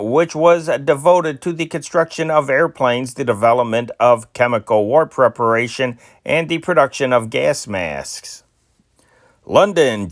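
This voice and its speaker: A man at a middle pitch reads old newspaper stories aloud in a dry, close recording with no room sound. His delivery is amateur and halting, tripping over names and backing up to fix them. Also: a bit bright, no echo. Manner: halting, stumbles on names and corrects himself; amateur, non-professional delivery.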